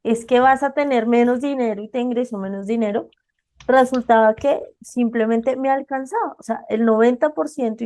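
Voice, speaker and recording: Mellow-voiced woman podcast host. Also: a little high. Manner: conversational, asks questions to the guest. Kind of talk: casual podcast interview.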